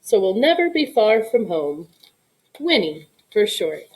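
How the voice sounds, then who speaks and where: An earnest, thoughtful army veterinarian from a storybook, voiced by a woman reader. He speaks deliberately, with kindly resolve and a lively range of pitch. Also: poor audio. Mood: warm, cheerful. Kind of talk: storybook read-aloud character.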